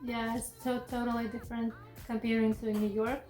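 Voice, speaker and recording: A woman in her late twenties from Kazakhstan, whose English is a second language, talks through her story in a relaxed, thoughtful way, often stopping to search for words. Her voice sits a little high, and she is recorded in an echoey room with faint music behind her. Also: brisk. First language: Kazakh or Russian. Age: 20s. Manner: relaxed, thoughtful, frequent fillers and restarts. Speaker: interview guest. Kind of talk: casual interview.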